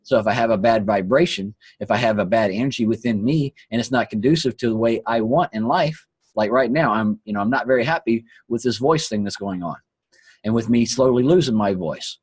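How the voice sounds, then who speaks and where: A hoarse man who is losing his voice hosts an earnest, preachy motivational talk and often repeats his phrases. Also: medium pitch, fairly quick, a little mellow, no room sound. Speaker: host. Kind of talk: motivational talk on a live online call.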